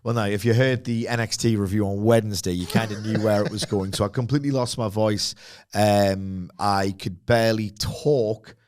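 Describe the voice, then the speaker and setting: A man co-hosting a wrestling podcast, his voice hoarse from illness, chatting casually and joking with fillers. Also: lowish voice, steady tone, normal speed, a little mellow. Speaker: podcast co-host.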